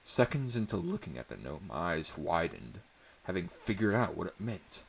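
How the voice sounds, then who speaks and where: A man's voice: low voice, sing-song, normal speed, creaky, breathy edge. A man narrating a first-person story as a dramatic reading.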